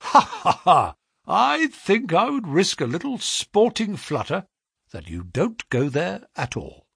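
A man voicing a brisk, sharp-talking middle-aged male financier in a read-aloud story, speaking eagerly and quickly. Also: high voice, very animated, vocal fry, husky, no room sound, clean studio sound, voice pushed up, exaggerated voice.

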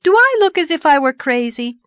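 A high, swooping voice for a sweet young schoolteacher in a storybook , acted by a woman narrator. She is dreamy, easily flustered and earnest, with breathless exclamations, in a close dry recording. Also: animated, unhurried, a bit bright, no room sound, muffled recording. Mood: excited. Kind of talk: audiobook character voice.

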